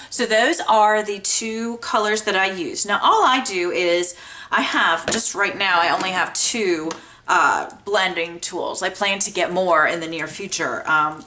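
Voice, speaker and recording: A woman's voice: on the low side, measured pace, sharp tone. A woman giving a casual craft tutorial in a chatty, enthusiastic way, her voice slightly scratchy and congested from a sinus infection. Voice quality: a little scratchy. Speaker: crafting tutorial host, card maker. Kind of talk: casual how-to craft demonstration.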